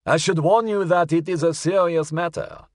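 Man voicing an elderly, courteous gentleman, pitched high. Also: dry recording, studio quality, close to an everyday voice. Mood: grave, precise. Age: older. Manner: formal, measured, curious. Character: elderly, courteous, precise Frenchman on the telephone. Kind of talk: audiobook character acting.

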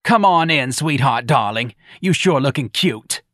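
A brash, eager middle-aged hostess, as a male narrator puts her on, pitched well above his own voice and pushed hard. She gushes, scolds and drawls, loud and ungrammatical. The read is close and dry. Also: animated, unhurried, no echo. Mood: gushing.

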